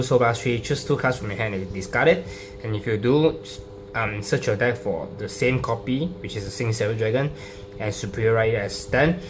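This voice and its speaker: A man with a fairly low voice talks through a trading card deck in a casual, off-the-cuff way. His delivery is halting, with frequent ums as he explains each card. The home recording has clear noise and a hum behind him. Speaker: trading card game player presenting a deck profile.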